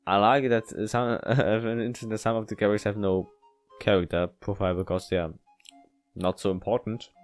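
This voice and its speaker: Halting man reading a game aloud over music. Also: on the low side, fairly even intonation. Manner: halting, stumbling and rereading lines. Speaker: let's player reading a visual novel aloud. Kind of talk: casual gameplay read-aloud commentary.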